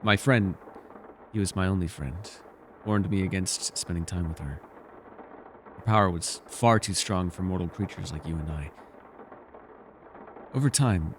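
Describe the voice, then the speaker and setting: Man voicing mysterious ancient male elf, deep-pitched, wistful, softly teasing, measured storyteller, ASMR fantasy roleplay, some background noise. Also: lightly acted. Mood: wistful, reflective. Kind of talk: ASMR character roleplay, intimate scripted monologue.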